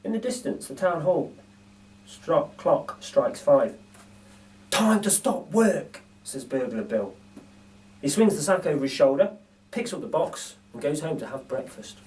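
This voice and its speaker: Man reading a story to kids, really expressive up and down, dramatic pauses, recorded from a way off. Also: on the high side. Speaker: storybook reader for children. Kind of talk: expressive children's story narration. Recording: distant microphone.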